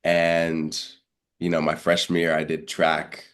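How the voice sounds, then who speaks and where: A man's voice: very deep voice, completely flat delivery, slow pace, fairly bright tone. A congested young man chatting casually as an interview guest, telling stories in informal slang and acting out remembered dialogue. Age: young adult. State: congested, under the weather. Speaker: guest, college high jumper.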